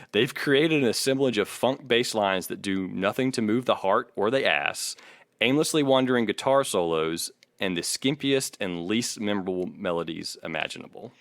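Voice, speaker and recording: A man with a fairly low voice, recorded close and dry. He talks as a podcast co-host, switching between casual opinion and reading aloud, and argues his points firmly. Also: lively intonation, moderate pace, fairly bright tone, no room sound.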